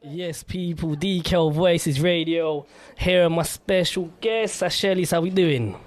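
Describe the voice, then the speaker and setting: A young man hosts a relaxed radio chat in a slightly high voice. He rambles in a friendly way, stacking "like" and "yeah" as he goes. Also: unhurried. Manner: relaxed, chatty, lots of "like" and "yeah". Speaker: radio host. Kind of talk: casual radio interview chat.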